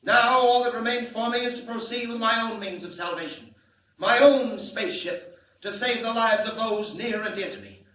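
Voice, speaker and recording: An actor playing an earnest, urgent alien scientist in grave, theatrical 1940s radio style. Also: male voice, very high-pitched, normal speed, sharp tone, full character voice. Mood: defiant, bitter. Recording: old 1940 radio recording, echoey in places.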